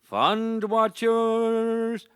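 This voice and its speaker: A woman giving a solemn, drawn-out, mournful rendition of an old lyrical lament. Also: on the high side, flat delivery, smooth, no room sound, studio quality.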